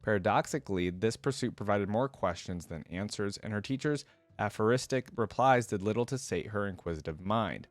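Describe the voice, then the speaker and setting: A man's voice: on the low side, no room sound. A man hosting a reaction channel, reading lore aloud and commenting as a fan in an informal, slangy way.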